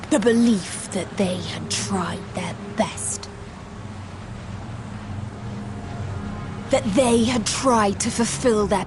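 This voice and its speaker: A woman voices a mournful, otherworldly female creature who speaks as one of many. Her delivery is slow, solemn and declamatory, in the archaic language of a fantasy game cutscene, with music clearly behind her. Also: mid-range voice, natural voice. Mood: anguished, fervent. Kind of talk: video game cutscene monologue, formal archaic narration.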